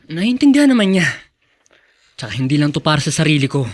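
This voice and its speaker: An earnest young son in a radio drama, dutiful and self-sacrificing. His voice sits a little high for a man. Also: very animated, quick pace. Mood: resigned. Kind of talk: Filipino radio drama acting.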